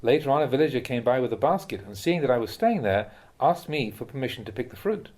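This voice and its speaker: A man with a mid-pitched voice reads spiritual teachings in the style of an audiobook. His delivery is measured and instructive, and each phrase is clearly set out. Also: lively intonation, darkish tone. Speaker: reader of translated Buddhist teachings.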